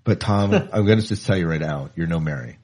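A man's voice: low voice, fairly quick, darkish tone, husky. A man hosting a podcast in a casual, chatty, informal way, often repeating himself. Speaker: podcast host.

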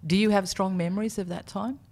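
A woman's voice: medium pitch, steady tone, fast, darkish tone. A woman with an Australian accent, hosting an interview podcast with introductions and casual chat.

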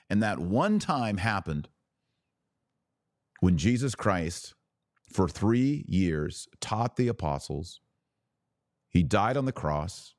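A low-voiced man delivers opinionated religious commentary as a podcast host. His pitch swings widely as he preaches to the listener with emphatic, pointed phrasing. The sound is dry and close. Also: a little mellow, no room sound. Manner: emphatic, rhetorical questions, sarcastic asides.

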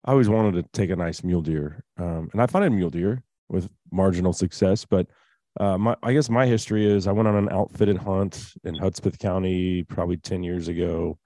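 A deep, dark-toned man with a drawl hosts an outdoor radio show. He talks in a relaxed, chatty way and slides easily between ad reads and conversation. The sound is close and dry. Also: a little fast, no room sound. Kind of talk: casual radio chat and live ad reads.

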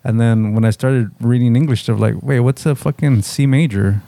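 A man's voice: medium pitch, steady tone, moderate pace, creaky, dark tone, no echo. A man whose first language is Spanish, reflecting in casual chat, mixing Spanish words into his English and swearing casually. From United States, son of Mexican immigrants.

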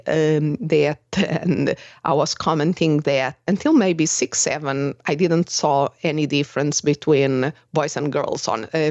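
A woman's voice: low-pitched, darkish tone, dry recording, muffled recording. A woman from Portugal with a Portuguese accent, hosting a podcast conversation, speaking hesitantly with many fillers and restarts. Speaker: podcast host. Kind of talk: casual conversation.

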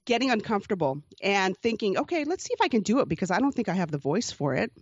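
A woman in her forties telling her life story as an interview guest, earnest and reflective, often restarting sentences and breaking into soft laughs. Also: medium pitch, big pitch swings, fast, breathy edge, no echo, dull audio. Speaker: podcast host being interviewed as guest. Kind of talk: candid personal storytelling.

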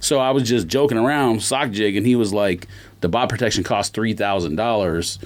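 A man's voice: lowish voice, normal speed. A man co-hosting a podcast in casual chat, explaining things in an emphatic, opinionated way. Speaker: podcast co-host.

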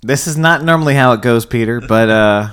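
Chill cohost on a headset, jokey, trails off a lot, mid pitch. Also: fairly quick, a bit bright. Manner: loose, joking, trailing filler words. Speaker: podcast co-host. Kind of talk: casual interview.

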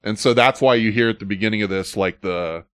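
A man's voice: on the low side, fairly quick, a bit bright, smooth, no room sound, clean studio sound. A man hosting a podcast, telling a story in a loose, chatty way. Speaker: podcast host. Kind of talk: casual podcast chat.

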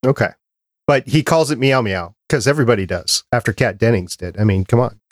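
A man of middling pitch speaks in a very dry recording with no room sound. He is a podcast co-host who banters casually, putting playful questions in a mock-serious way. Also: a little fast, dry recording.

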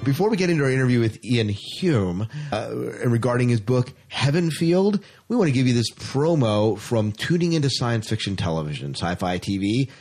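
A man's voice: medium pitch, big pitch swings, moderate pace, few pauses, darkish tone, soft background music. A man co-hosting a podcast in a casual, chatty style. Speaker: podcast co-host. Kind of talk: casual chat and banter.

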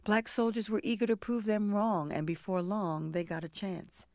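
A woman's voice: medium pitch, normal speed, darkish tone, no echo, clean studio sound. A woman narrator delivering scripted historical documentary narration.

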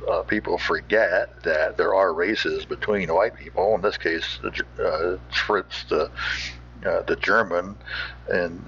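Deep, bright-voiced man, folksy and rambling. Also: vocal fry, husky, lo-fi sound. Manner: folksy, hesitant, thinking aloud. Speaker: host of an old-time radio show. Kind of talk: casual rambling commentary.

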